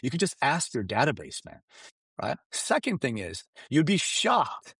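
Animated man with a lively, emphatic voice. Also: medium pitch, a little slow, creaky, breathy edge, no echo, clean studio sound. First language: Farsi. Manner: emphatic, animated, keeps asking "right?" and "you understand?". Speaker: guest expert. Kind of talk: energetic business coaching talk.

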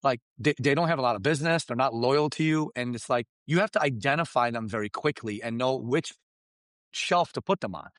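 A man whose first language is Farsi, giving emphatic, animated business coaching and often checking in with "right?" and "you understand?". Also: mid-range voice, fairly quick, no room sound, clean studio sound. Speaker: guest expert. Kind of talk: energetic business coaching talk.

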